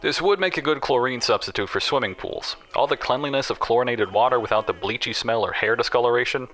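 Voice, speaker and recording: A man's voice: medium pitch, fast, fairly bright tone, lightly acted. A man voicing an exasperated, sardonic research scientist reading out his notes in a dramatised story. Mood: dry, resigned. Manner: dry, grumbling, sardonic.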